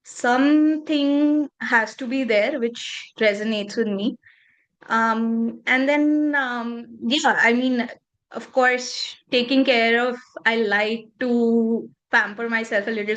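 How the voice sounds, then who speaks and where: A woman's voice: a little high, fairly bright tone, low-quality recording. Earnest woman, Punjabi native speaker. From northern India. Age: 30s. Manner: earnest, reflective, speaks candidly. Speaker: podcast guest. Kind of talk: casual interview conversation.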